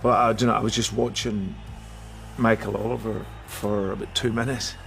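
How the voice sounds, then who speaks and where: Low, gravelly man reflecting in an interview. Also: flat delivery, normal speed, fairly bright tone. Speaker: football club interviewee. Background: music.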